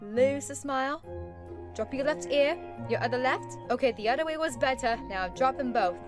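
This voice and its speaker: A woman voicing a sarcastic, wheedling female alley cat in an amateur performance of an animated film scene, with theatrical delivery. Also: sits high, moderate pace, music in the background, natural voice. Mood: wheedling, bossy. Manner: sardonic, theatrical.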